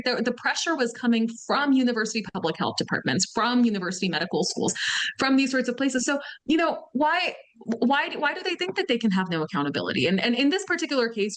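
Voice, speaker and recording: Female guest commentator from Massachusetts, chatty and hesitant, slightly high voice. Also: a bit bright. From Somerville, Massachusetts. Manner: conversational, with many fillers and "right?" tags. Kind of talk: talk radio interview.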